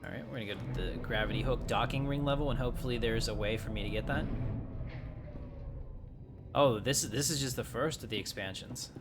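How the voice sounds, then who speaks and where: A man's voice: mid-range voice, fairly quick, over music. A man giving casual, easygoing live gameplay commentary, thinking aloud as he plays. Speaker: gaming streamer.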